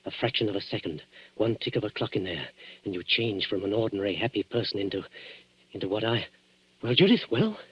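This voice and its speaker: An actor in a 1940s radio thriller playing a shaken, defensive man who has just killed, with a halting, urgent delivery. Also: lowish voice, lively intonation, lightly acted. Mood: horrified. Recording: muffled, noisy 1940s radio recording.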